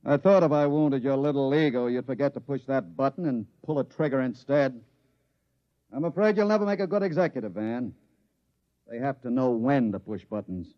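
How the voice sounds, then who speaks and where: An actor in an old radio drama playing a shrewd chief engineer, speaking in a brisk, clipped, assured and goading way. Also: male voice, medium pitch, expressive, no echo, natural voice. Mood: cool, triumphant. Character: shrewd, commanding chief engineer, cool and taunting. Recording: muffled vintage radio recording.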